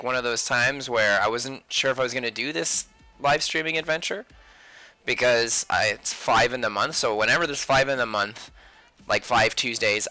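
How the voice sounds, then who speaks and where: Bright-voiced man chatting on a livestream. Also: mid-range voice, dry recording, poor audio. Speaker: livestream host, sketch artist. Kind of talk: casual livestream chat while drawing.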